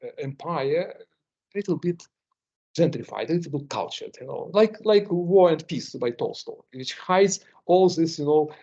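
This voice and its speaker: A man's voice: fairly high pitch, animated, moderate pace, mellow. An older man with a Ukrainian accent, giving a seminar talk with many fillers and repeated words. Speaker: guest lecturer. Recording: muffled lo-fi recording.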